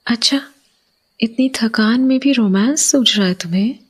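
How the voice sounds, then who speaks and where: A woman playing an uneasy, suspicious young wife in a horror audio drama, hesitant and hushed, lingering on words in a breathy voice. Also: fairly high pitch, normal speed. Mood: lighter, coaxing. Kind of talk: Hindi horror audio drama, acted dialogue.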